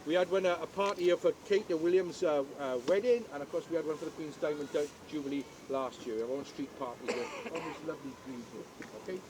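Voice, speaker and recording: A man's voice: fairly high pitch, fast talker, hardly any pauses, background noise. An older Welsh man giving a jokey, chatty guided tour outdoors at a historic castle, often asking the crowd questions.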